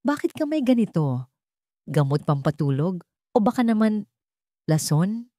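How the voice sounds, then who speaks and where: A woman's voice: mid-range voice, sing-song, normal speed, mellow, dry recording, clean studio sound, natural voice. A woman voicing a scheming, melodramatic young woman making tearful, accusing outbursts in an audio drama.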